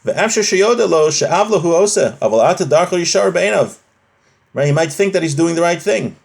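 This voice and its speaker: A man's voice: on the high side, expressive, a little fast, vocal fry. A man giving an informal lesson in religious law, reading Hebrew phrases from a text and explaining them conversationally with rhetorical questions. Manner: switches between Hebrew quotations and plain explanation.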